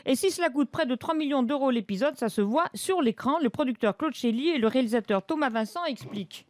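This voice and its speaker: A middle-aged woman reviews television on the radio in a fairly high voice. She is opinionated and emphatic, recorded dry and close in a studio. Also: animated, fast, dry recording. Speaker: radio TV critic and columnist. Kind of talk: scripted radio review with lively asides. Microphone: close studio microphone.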